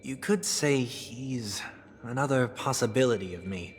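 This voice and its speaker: An actor playing a melancholic, refined young man in a game cutscene, speaking in measured, poetic, wistful phrases. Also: medium pitch, a little hiss or hum, natural voice. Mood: rueful. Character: melancholic young male fallen idealist, refined and soft-spoken. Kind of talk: dramatic video game cutscene acting. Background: music.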